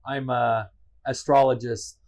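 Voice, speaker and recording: A man's voice: medium pitch, little pitch movement, slow, darkish tone. A man co-hosting a casual podcast, self-deprecating and prone to repeating himself, laughing often. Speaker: podcast co-host. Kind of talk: casual chat.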